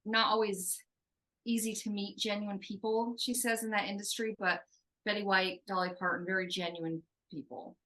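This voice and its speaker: A woman's voice: medium pitch, flat delivery, normal speed, sharp tone, rough recording. A woman working as a medium, relaying a spirit's answers in casual talk, hesitant and full of fillers. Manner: hesitant, filler-heavy, relays in third person.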